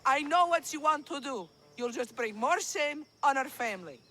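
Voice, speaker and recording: Game villager: outspoken ancient townswoman, high register, highly expressive delivery. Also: moderate pace, a little hiss or hum. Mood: scornful. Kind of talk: video game cutscene acting.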